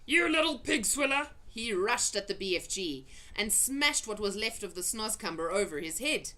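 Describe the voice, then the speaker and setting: A woman narrating a children's adventure story in an expressive read-aloud style. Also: medium pitch, moderate pace. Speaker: storybook reader.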